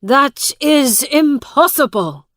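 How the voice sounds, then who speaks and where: Storybook: woman playing a loud, insistent proud man, slow, dry. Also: mid-range voice, very animated, dry recording, full character voice. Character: proud, hot-tempered grown man claiming his home.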